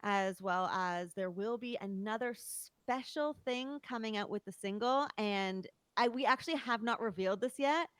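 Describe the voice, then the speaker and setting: A woman's voice: medium pitch, moderate pace, hardly any pauses, smooth, no room sound. A young woman, a musician talking casually as a guest in an interview. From Toronto, Canada. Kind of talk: casual chat.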